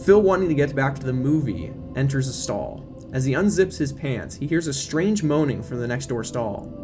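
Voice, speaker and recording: A man narrates a horror audio drama from a script. His delivery is dramatic storytelling, with music clearly playing underneath. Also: medium pitch, lively intonation, normal speed.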